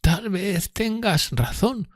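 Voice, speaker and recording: A man voicing a frail, remorseful elderly gentlewoman in an audiobook, speaking slowly in a hesitant, timid murmur. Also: sits high, no room sound, clean studio sound, pitched above the performer's own voice. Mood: fearful, weary. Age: older. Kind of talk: audiobook character reading.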